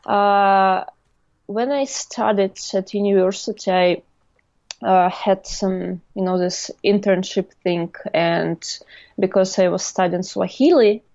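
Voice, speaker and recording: A woman's voice: mid-range voice, steady tone, slow, darkish tone, no echo, lo-fi sound. A young adult woman from Russia with a Russian accent, a guest speaking English as a second language in a casual interview, hesitant with many fillers.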